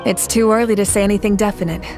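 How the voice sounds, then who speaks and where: An actress voicing a confident female leader of a nation at war in a video game, measured and reassuring. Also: medium pitch, fairly even intonation, over music, lightly acted. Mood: steady.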